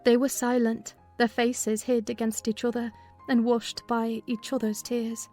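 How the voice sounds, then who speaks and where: A woman narrating a dramatized literary audiobook in a measured, expressive storytelling manner. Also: on the high side, faint music behind.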